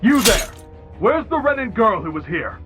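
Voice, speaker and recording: An actor playing an arrogant, brutish armored soldier in a game, barking with contempt and command. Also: male voice, sits high, big pitch swings, moderate pace. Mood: barking, menacing. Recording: background music under voice.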